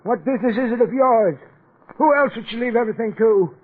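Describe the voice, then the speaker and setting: A man's voice: very high voice, fairly quick, breathy edge. A man acting a bitter, irascible wreck of a brother in a 1940s radio drama, with sputtering indignation. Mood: bitter, resentful. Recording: muffled, phone-like vintage radio recording.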